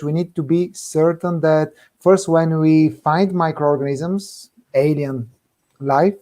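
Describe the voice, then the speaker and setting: A young man with a Bulgarian accent, an energetic and earnest guest scientist explaining things emphatically in an online Q&A. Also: a little high. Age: young adult. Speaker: guest physicist, university assistant professor.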